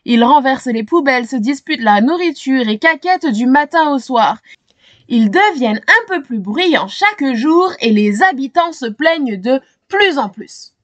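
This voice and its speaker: A woman narrates a children's story with a high voice and big swings in pitch. She speaks expressively and addresses young listeners in a dramatic storyteller's way. The sound is close and dry. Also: expressive, normal speed, dry recording. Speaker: storyteller reading a children's book aloud.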